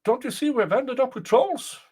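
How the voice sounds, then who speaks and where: A fretful old mother from a folktale, acted out by a male storyteller. The voice is raised high and swings around anxiously, pleading and warning. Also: lively intonation, normal speed, a little mellow, slightly rough, exaggerated voice. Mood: alarmed. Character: frightened, fretful old woman, the boy's mother.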